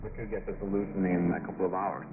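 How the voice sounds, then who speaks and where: A man, a university researcher, explaining his work informally and haltingly in an interview. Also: low-pitched, level tone. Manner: halting, pausing mid-phrase. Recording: tinny, hissy shortwave radio broadcast.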